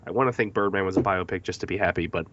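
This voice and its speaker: A man's voice: low voice, rapid-fire, a bit bright, dull audio. A man hosting a podcast movie discussion, reading out facts from notes between questions to the panel. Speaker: podcast host.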